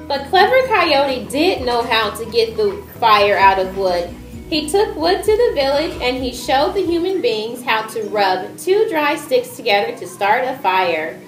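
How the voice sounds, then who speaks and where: High-voiced woman narrator, slow and echoey. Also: big pitch swings. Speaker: narrator and presenter. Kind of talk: read-aloud stage narration. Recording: echoey room with music over it.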